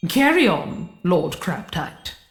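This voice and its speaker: A woman's voice: on the low side, very animated, takes it slowly, roomy sound, faint music behind. An actress playing a sceptical middle-aged queen in a comedy audio drama, curt, dry and sardonic. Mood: withering, impatient.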